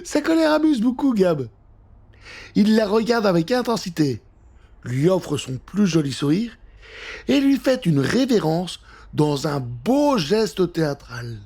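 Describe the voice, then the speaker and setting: A man narrating a children's story in an expressive, theatrical and conspiratorial way, speaking straight to the listener. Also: high voice, unhurried, husky. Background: music.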